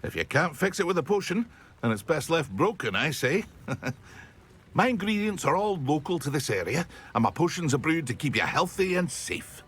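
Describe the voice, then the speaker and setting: A man's voice: on the high side, very animated, moderate pace, fairly bright tone, heavily acted. A man playing a proud, jovial village potion seller in a video game. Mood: jovial, boastful. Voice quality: husky. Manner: chuckling, boastful. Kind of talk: video game shopkeeper dialogue.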